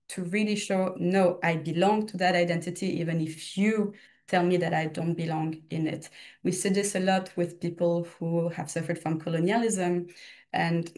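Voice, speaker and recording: A woman's voice: fairly low pitch, darkish tone. A young woman with a slight Belgian French accent, giving a casual exam-prep lecture full of personal examples and self-corrections. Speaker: exam tutor. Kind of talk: recorded study lecture.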